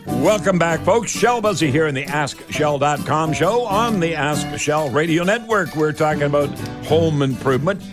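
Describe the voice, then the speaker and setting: An older man with a Canadian accent hosting a radio talk show in a folksy, halting, unscripted way. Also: a little high, expressive, music in the background.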